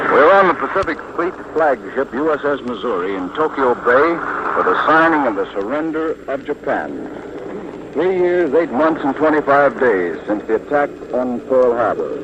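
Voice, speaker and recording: An American man reporting live as a radio correspondent in formal, measured 1940s news commentary, outdoors on a warship deck. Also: medium pitch. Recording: noisy muffled 1940s outdoor radio broadcast. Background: loud noise, aircraft drone.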